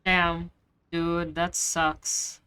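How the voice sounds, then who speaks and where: Bright-voiced woman streamer, unhurried. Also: fairly low pitch, level tone, clear, resonant tone. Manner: informal, conversational. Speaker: streamer playing a game. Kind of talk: casual live reaction commentary.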